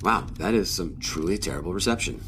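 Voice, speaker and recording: A man's voice: low voice, lively intonation, fairly quick, very noisy. A man playing a dry, exasperated crewmate in an audio drama, speaking in a matter-of-fact way. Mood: dry, wary.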